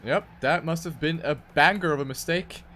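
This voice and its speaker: A man's voice: on the high side, moderate pace, bright, forceful tone, slight background noise. A man doing live esports commentary on a racing game, with dramatic, emphatic phrasing.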